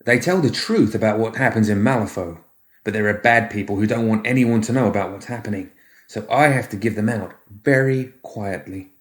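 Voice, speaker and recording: A man's voice: lowish voice, fairly quick, reverberant, lightly acted. A man voicing a nervous newspaper courier in a story, speaking in a coaxing, politely careful way. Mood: secretive, coaxing.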